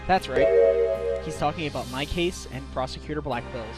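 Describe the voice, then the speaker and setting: A man's voice: medium pitch, normal speed, over loud music, natural voice. A man voicing an earnest male defense attorney in a fan dub, given to exclamations.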